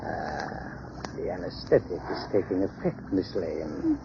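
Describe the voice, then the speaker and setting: An actor playing a boastful, murderous doctor, melodramatic and taunting, in a 1930s radio drama. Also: male voice, deep voice, takes it slowly, vocal fry, heavily acted. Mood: cold gloating. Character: villainous doctor, boastful, cold, murderous male scientist. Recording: muffled, noisy 1930s radio recording.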